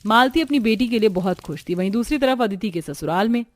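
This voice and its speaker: A woman's voice: on the high side, animated, fairly quick. A woman narrator reading scripted narration for a cartoon family story.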